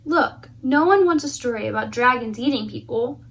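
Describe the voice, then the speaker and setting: A woman voicing a pushy, sarcastic male monster storyteller, excitable and exasperated, in a children's picture book. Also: a little high, normal speed. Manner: exasperated, blunt.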